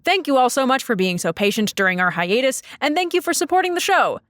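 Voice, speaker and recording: A woman's voice: high-pitched, sing-song, quick pace, a bit bright, dry recording, studio quality. A woman reading a scripted podcast announcement as its presenter, addressing listeners directly.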